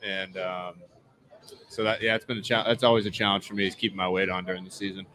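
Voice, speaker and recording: A man's voice: sits low, flat delivery, quick pace, sharp tone. A man in his twenties with an American accent, answering interview questions as an athlete in a casual, laid-back, modest way. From Southern California, United States. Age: 20s. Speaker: American football player being interviewed. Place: live event at a casino.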